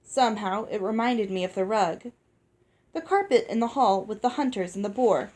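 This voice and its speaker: A woman reading a children's novel aloud as scripted, expressive storybook narration. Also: medium pitch, normal speed. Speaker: audiobook reader.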